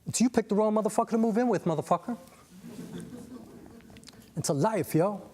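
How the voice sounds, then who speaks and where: Saint: man doing a loud, slangy, big-hearted friend, high-pitched voice. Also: fairly quick. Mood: boisterous. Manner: slangy street talk, toasting.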